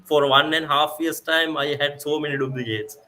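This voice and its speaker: A young man from Sri Lanka whose first language is Sinhala answers questions on a live video call. He talks haltingly, stopping often for fillers and restarts. His voice is bright and forward, with a faint layer of background noise. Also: on the high side, steady tone. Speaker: guest speaker. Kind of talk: live-stream interview.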